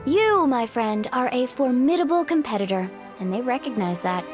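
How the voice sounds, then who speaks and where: A woman's voice: fairly high pitch, very animated, moderate pace. An actress playing an upbeat, confident young woman warrior from a seaside tribe in a video game, chatty and self-assured. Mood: breezy, friendly. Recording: game music behind.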